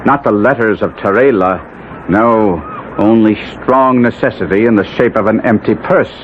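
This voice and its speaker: A man portrays a ruined young nobleman telling his tale in a vintage radio play. His voice is on the low side, formal and melodramatic, full of anguish. The old broadcast sounds dull and slightly noisy. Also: normal speed, natural voice. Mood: remorseful. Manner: earnest, melodramatic, formal literary phrasing. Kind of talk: 1970s radio drama acting and narration.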